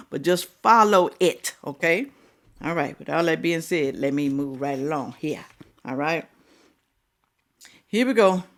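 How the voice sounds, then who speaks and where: A woman hosting a reaction video, talking casually, scolding and animated with drawn-out exclamations. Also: lowish voice.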